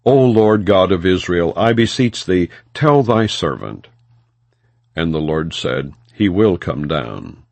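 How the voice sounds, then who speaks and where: Deep-voiced man reading scripture. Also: animated, slow pace, creaky, darkish tone, dry recording. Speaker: audiobook Bible reader. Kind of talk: non-dramatized scripture narration.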